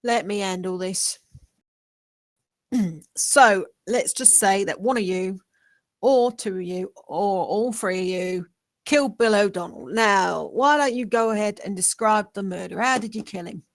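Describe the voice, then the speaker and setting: Measured, polite, puzzled investigator played by a woman, unhurried pace, comedy script read. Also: medium pitch, natural voice. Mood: patient. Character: patient, bemused police detective questioning suspects.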